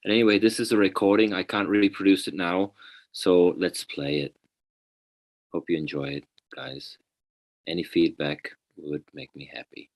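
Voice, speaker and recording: A man's voice: low-pitched, steady tone, normal speed. A man speaking as a guest on a video call, shy and rambling before playing his recording. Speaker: guest artist. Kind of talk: casual remarks on a live call.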